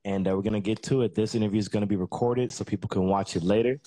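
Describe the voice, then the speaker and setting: A man hosting a podcast interview, relaxed and encouraging with easy back-and-forth chat. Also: lowish voice, very fast, no room sound. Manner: relaxed, encouraging, says "that's what's up". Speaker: podcast host. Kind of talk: casual interview.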